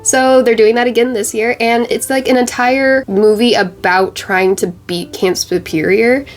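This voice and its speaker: A woman's voice: on the high side, steady tone, unhurried, soft background music. A young woman with a congested, stuffy voice from a cold, chatting casually as a beauty vlogger while doing her makeup, full of bubbly "like" fillers. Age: young adult.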